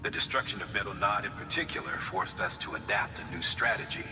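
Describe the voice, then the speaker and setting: An actor playing a pragmatic mission commander in a video game, matter-of-fact and measured. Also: male voice, low-pitched, noisy background, close to an everyday voice. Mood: businesslike. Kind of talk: video game cutscene acting. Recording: long hall reverb with music behind.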